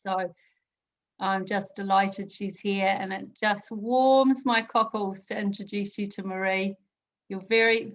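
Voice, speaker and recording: A woman hosting a chat show greets her guest with gushing enthusiasm. Her pitch sits in a middle range, and the recording sounds muffled and lo-fi. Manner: effusive, enthusiastic, hesitant with fillers. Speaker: host. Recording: dull lo-fi audio.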